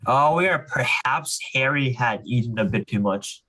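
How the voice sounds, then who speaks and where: A man's voice: medium pitch, lively intonation, moderate pace, sharp tone, poor audio. A young man casually explaining and reading aloud to children as a reading club leader, with an informal way of talking peppered with "like" and "you know".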